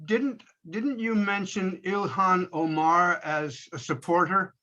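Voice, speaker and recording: A man speaking politely and deliberately as a participant in an online meeting, over lo-fi call audio. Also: sits high, pushed and bright. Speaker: meeting participant asking questions. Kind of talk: courteous remarks at an online meeting.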